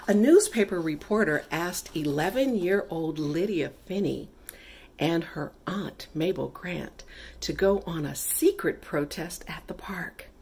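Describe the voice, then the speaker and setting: A middle-aged woman reading a children's picture book aloud with deliberate, emphatic, dramatic phrasing. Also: low voice, a little mellow. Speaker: read-aloud storyteller.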